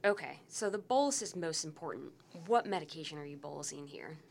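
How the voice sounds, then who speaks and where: Woman, medical podcast host, slightly low voice, brisk, faint noise. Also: animated, creaky. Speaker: host, physician. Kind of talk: scripted podcast hosting.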